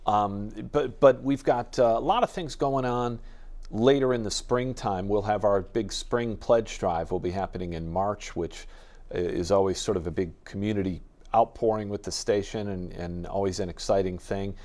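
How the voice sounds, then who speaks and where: Low-pitched American man chatting casually. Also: moderate pace, darkish tone. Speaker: radio station jazz expert and guest. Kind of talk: casual interview chat.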